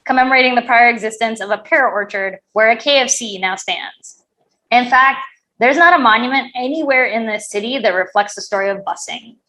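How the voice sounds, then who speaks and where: A woman's voice: a little high, fairly bright tone, poor audio. A woman in her forties, a teacher and panel moderator, reading prepared introductory remarks in an articulate, earnest manner.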